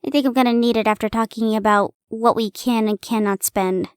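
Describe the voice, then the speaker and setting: A woman's voice: on the high side, fairly even intonation, fairly quick, clean tone, no echo, studio quality. A young woman in an intimate audio roleplay, chatting playfully to the listener after reading aloud. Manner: playful, giggly, pleading.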